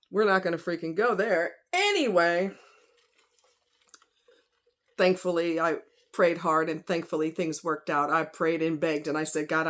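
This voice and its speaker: Casual woman vlogger, chatty and slangy. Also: deep voice. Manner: conversational, rhetorical questions, informal slang. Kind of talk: casual rambling opinion vlog.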